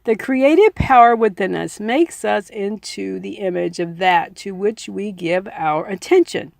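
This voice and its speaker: A woman reading a self-help book aloud as its narrator, with deliberate, emphatic phrasing and informal commentary of her own. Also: mid-range voice, gravelly, a little mellow, no echo. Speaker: audiobook reader adding personal commentary.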